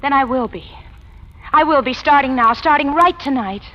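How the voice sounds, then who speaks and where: High, pleading old-radio sweetheart. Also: female voice, moderate pace, pushed and bright. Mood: pleading, defiant. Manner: pleading, emotionally intense. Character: earnest, anguished female city official, racketeer's sweetheart. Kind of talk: 1940s radio melodrama acting. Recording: muffled, noisy old radio recording.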